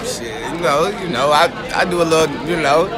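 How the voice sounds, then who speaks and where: A man's voice: a little high, brisk. Hesitant teen boy telling a story. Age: teens. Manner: hesitant, rambling. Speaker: interviewee. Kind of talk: casual storytelling. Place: busy school cafeteria. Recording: loud crowd chatter behind.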